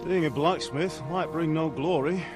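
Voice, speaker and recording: An actor playing the ghost of a stern, wise blacksmith father in a game cutscene, speaking in a steady, measured way over a music score. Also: a little high, close to an everyday voice. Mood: advising. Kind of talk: video game cutscene acting.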